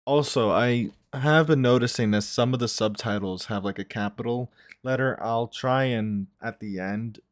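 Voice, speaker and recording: A man's voice: medium pitch, moderate pace. A man giving casual reaction commentary as a video host, thinking aloud as he goes. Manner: musing, thinking aloud, frequent "like" and "right".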